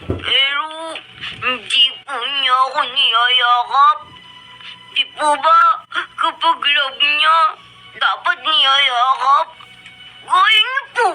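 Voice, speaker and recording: A woman's voice: high voice, bright, forceful tone, full character voice, poor audio. A woman playing a lonely, tearful young child who pleads politely and plaintively in a Filipino radio drama. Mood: sad, wistful.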